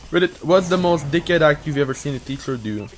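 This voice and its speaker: A man's voice: a little high, expressive, brisk. A young man with a Quebec French accent, partly Americanized, rambling in a casual vlog full of swearing, "uh" fillers and restarts. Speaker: YouTuber answering Reddit questions.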